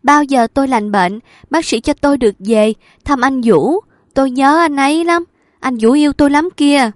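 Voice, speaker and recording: A woman voicing a distraught young woman in a hospital who pleads, high-pitched and loud, for her lost love, in an audiobook reading. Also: expressive, a little slow, dry recording, studio quality. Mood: childlike pleading.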